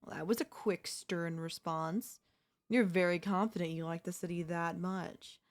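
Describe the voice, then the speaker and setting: Slow, smug, teasing young woman acted by a woman. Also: on the low side, fairly even intonation, lightly acted. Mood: needling, disappointed. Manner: smug, coy, drawn-out teasing. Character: teasing, possessive young woman, former childhood bully next door. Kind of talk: ASMR roleplay acting.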